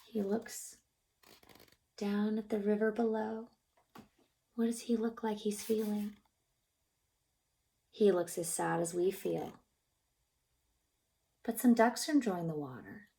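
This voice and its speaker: A woman reading a picture book aloud to young children as a childcare teacher, expressive and gently encouraging, prompting her listeners with questions and often dropping into a near-whisper. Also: medium pitch, slow pace, vocal fry, pauses often, slight background noise.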